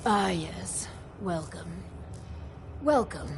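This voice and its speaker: Game overseer: formal, courteous, measured, slightly low and very unhurried, over clear background noise. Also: female voice, expressive, full character voice. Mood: courteous, composed. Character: polite, formal woman overseer screening new settlers. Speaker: overseer interviewing prospective vault residents. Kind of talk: video game character dialogue.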